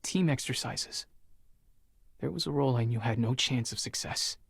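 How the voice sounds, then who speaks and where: An actor playing an earnest, driven young Spartan soldier burdened by guilt in a dramatic video game. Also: male voice, medium pitch, moderate pace, creaky, husky, no echo, clean studio sound. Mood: somber, confessing.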